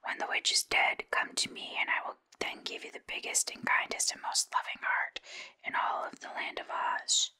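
A woman's voice: normal speed, pushed and bright. A woman voicing a huge, stern beast wizard from a storybook in an imperious whisper. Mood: commanding. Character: huge terrible beast wizard, gruff and commanding.

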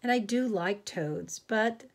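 A woman reading a storybook aloud, voicing a plain, kind young girl with a gentle, polite manner. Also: medium pitch, takes it slowly.

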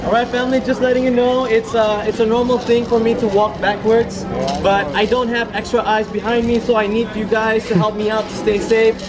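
A man's voice: very high voice, flat delivery, a little fast, crowd noise. A young man in his twenties from Malaysia, leading a tour group outdoors in a playful, joking way, calling the group "family". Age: 20s. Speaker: tour guide. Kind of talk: guided tour talk. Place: outdoor cultural park with food trucks. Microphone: camera a few steps away.

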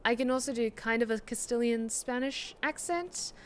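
A woman's voice: on the high side, moderate pace. A young Australian woman, a streamer and voice actor, chatting casually while playing a game with lots of fillers. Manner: chatty, many fillers, rambling. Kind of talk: casual chat while gaming. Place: small room indoors.

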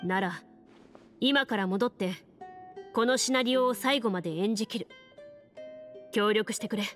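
A woman's voice: mid-range voice, measured pace, a bit bright, close to an everyday voice. A woman voicing a stern, composed young female champion in a Japanese game, firm, blunt and commanding. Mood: calm, resolute. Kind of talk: Japanese anime game voice acting. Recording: music behind the voice. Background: game music.

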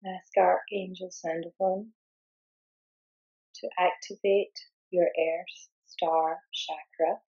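Slow, low-voiced woman guiding meditation. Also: fairly even intonation, breathy edge, highs cut off. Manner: soothing, unhurried, gentle instruction with long pauses. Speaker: guided meditation teacher. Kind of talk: guided meditation narration.

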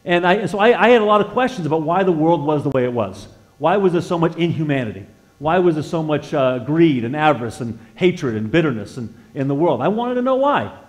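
An American man in his sixties giving an informal sermon with personal testimony as a guest preacher in a church, conversational and halting, repeating words as he feels his way and putting questions to his listeners. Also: on the high side, animated. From northeastern United States. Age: 60s. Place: church sanctuary, indoors, at a lectern.

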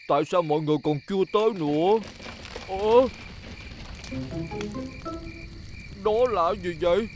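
A man's voice: a little high, a little hiss or hum, lightly acted. A man voicing an anxious, forgetful talking vehicle in a cartoon dub, wondering aloud and exclaiming. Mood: puzzled. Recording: loud music behind the voice. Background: loud music.

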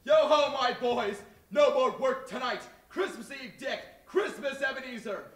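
A man's voice: very high voice, unhurried. A man on stage playing a jolly, hearty old master calling out in a Christmas play. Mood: jovial, calling out. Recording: distant echoey stage, loud noise.

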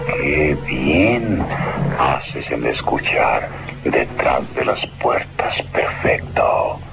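An actor playing a sinister, gloating inner voice that goads with slow mockery in an old radio drama. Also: male voice, very deep voice, sing-song, vocal fry, exaggerated voice. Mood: sly gloating. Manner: slow, mocking, drawn-out. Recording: dull lo-fi old radio recording, music.

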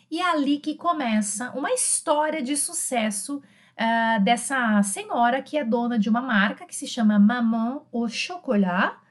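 A middle-aged woman with a Brazilian Portuguese accent teaching an informal online French lesson in a chatty, emphatic way, repeating key phrases. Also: high voice. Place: small indoor room with bookshelves.

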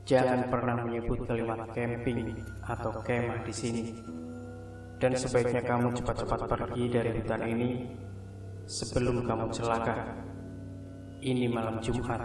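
A man voicing the ghost of a teenage schoolgirl who speaks in a quiet, even warning tone. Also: medium pitch, moderate pace, long pauses, heavy reverb, far from the mic, lightly acted. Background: background music.

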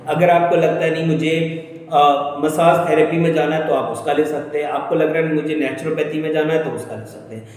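A man's voice: a little high, steady tone, fairly quick, a little mellow. Indian man in a very echoey room. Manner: instructional, point by point. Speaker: host of an educational career channel. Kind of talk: explainer video voice-over addressed to viewers. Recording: very echoey room with long reverb.

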